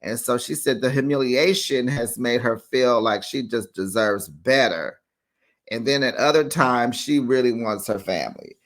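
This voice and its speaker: A man in an easy, gossipy recap. His voice sits at an ordinary middle pitch. He jumps up in pitch to act out what others said, then drops back to his own talk. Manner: chatty, acts out quotes with raised pitch, laughs often. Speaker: recap show host. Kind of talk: casual recap commentary.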